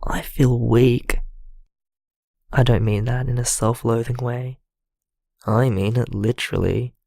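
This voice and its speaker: An actor playing a vulnerable, lonely man who leaves a halting, confiding, apologetic voicemail in a naturalistic audio drama. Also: mid-range voice, fairly even intonation, creaky, mellow, no room sound, clean studio sound. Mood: fragile, hesitant.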